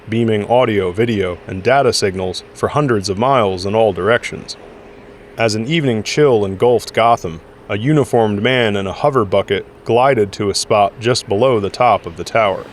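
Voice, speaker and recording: A man's voice: on the low side, slight background noise. A man reading scripted dramatic story narration as the narrator of an audio drama.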